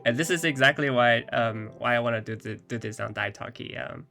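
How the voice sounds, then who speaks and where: A man's voice: mid-range voice, brisk, creaky, sharp tone. A man whose first language is Chinese, talking earnestly to camera about learning English and laughing now and then between points. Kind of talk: casual scripted talk to camera.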